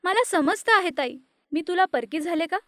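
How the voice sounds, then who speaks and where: A woman voicing a bold, protective young woman who speaks insistently, in an animated storybook. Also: very high voice, normal speed, dry recording, clean studio sound.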